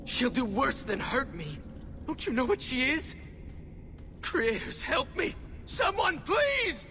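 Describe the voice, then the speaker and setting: A man's voice: very high voice, huge pitch swings, slow, bright, forceful tone, raised voice, big-room sound, slight background noise, heavily acted. An actor playing a fearful, suspicious young male elf in a fantasy video game, frantic and pleading. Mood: terrified.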